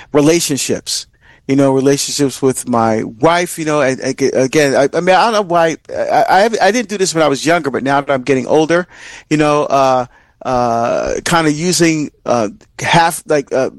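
A middle-aged man from Barbados hosting a religious radio talk, speaking conversationally in an earnest, halting way with repeated phrases. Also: on the high side, no echo. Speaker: religious radio host and deacon.

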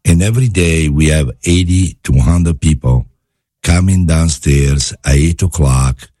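A man from Italy with an Italian accent, a guest chef talking in a studio interview, halting with many fillers and restarts. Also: rumbling low, warm tone, no room sound. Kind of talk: interview conversation.

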